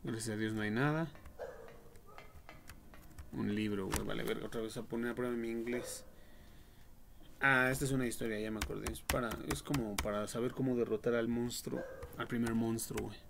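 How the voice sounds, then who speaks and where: A young man with a Mexican accent giving casual, slangy, foul-mouthed commentary on a horror game livestream, reacting out loud as he plays. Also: mid-range voice, steady tone, brisk, creaky, long pauses. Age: young adult. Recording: noisy home livestream with clicks and typing.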